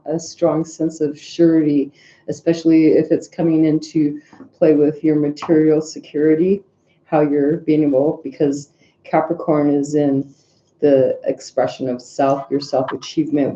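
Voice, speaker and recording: A nasal, congested woman talks through a daily tarot reading in a loose, rambling way, humming to herself between cards. Her voice is very dark and mellow. It sounds dull and lo-fi, in an echoey room. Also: sits low. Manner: rambling, filler-heavy, hums to herself. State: congested sinuses. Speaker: tarot reader.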